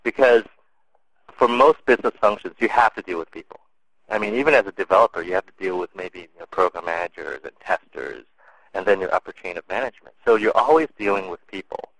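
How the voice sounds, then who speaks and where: A man's voice: deep voice, animated, fast, fairly bright tone, no room sound, rough recording. A man answering interview questions in a casual, thoughtful way, with many fillers and restarts. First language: English and Cantonese. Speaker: interview guest.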